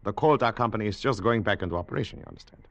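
An actor playing a courteous, skeptical colonial district controller, formal and measured, in old radio drama style. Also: male voice, lowish voice, no room sound, dull audio, lightly acted. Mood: coolly skeptical. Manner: measured, formal, politely probing. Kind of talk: 1940s-50s radio drama acting.

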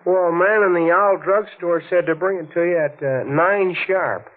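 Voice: mid-range voice, normal speed, lightly acted. A performer playing a polite young delivery kid in a 1940s radio drama. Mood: matter-of-fact. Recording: tinny old radio recording.

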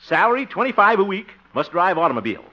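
A man's voice: high voice, sing-song, quick pace. An actor playing a blustery, self-satisfied, jovial man in 1950s radio comedy, theatrical and full of chuckles and exclamations. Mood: bossy, exasperated. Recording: muffled, noisy vintage radio recording.

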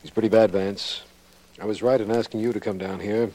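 An earnest lawman played by a male actor in an old radio detective drama. His voice is fairly low, formal and sober. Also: flat delivery, a little fast, mellow, lightly acted, low-quality recording. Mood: grave, earnest. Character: sober, official male investigator, detective's polite friend. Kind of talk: late 1940s radio drama acting. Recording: old 1940s radio recording.

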